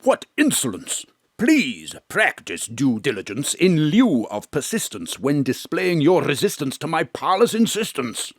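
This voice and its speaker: Boozy, paranoid veteran thespian. Grandiloquent, husky, very animated delivery for audio comedy. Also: male voice, a little high, measured pace, no echo, studio quality, heavily acted. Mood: indignant. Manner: theatrical, grandiloquent declaiming. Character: pompous, drunken, paranoid old stage thespian. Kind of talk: comic audio drama acting.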